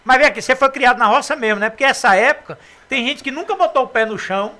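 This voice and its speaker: A man from Brazil hosting a local radio interview, chatty and lively as he asks questions. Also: extremely high pitch, fast. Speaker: radio host.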